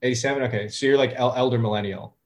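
Relaxed man in his thirties, halting chat. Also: medium pitch. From United States. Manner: relaxed, hesitant, many fillers and restarts. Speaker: guest, music producer. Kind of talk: casual interview chat.

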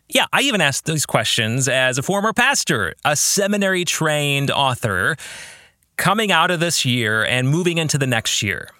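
A man's voice: fairly high pitch, pushed and bright, dry recording, clean studio sound. A man in his forties hosting a podcast as an author, speaking in an emphatic, conversational monologue. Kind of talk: podcast monologue introducing a book club.

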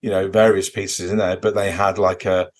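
Male podcast co-host, lowish register. Loose, off-the-cuff talk with a halting, meandering delivery. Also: level tone. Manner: hesitant, rambling delivery full of "you know" and "kind of". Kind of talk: casual off-the-record chat, recounting a convention visit.

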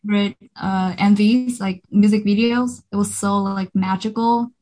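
A woman in her thirties with a Vietnamese accent, talking about herself in a relaxed interview. She is upbeat and halting, and her sentences stop and start around plenty of fillers. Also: medium pitch, low-quality recording. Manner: hesitant, many fillers and restarts, upbeat. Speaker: singer, interview guest. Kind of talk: casual interview chat.

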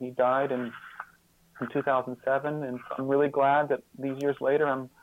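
A fifty-year-old man speaking as an interview guest, reflective and earnest, often halting and repeating words as he thinks. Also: medium pitch, flat delivery, narrow, tinny audio, a little hiss or hum.

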